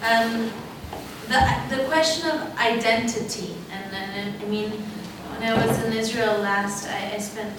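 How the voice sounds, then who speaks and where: A woman's voice: fairly high pitch, slow pace. A woman in the audience asking a question at a lecture, hesitant and restarting often. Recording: distant voice in a very echoey hall with noise.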